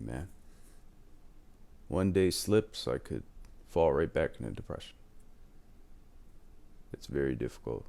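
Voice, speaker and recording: A man in his late twenties talks through life advice in a loose, candid way, dropping "man" at the ends of sentences. His voice sits very low and has a gravelly, creaky grain. The delivery is reflective and unscripted. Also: darkish tone. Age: 20s. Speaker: advice channel host. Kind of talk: casual advice monologue.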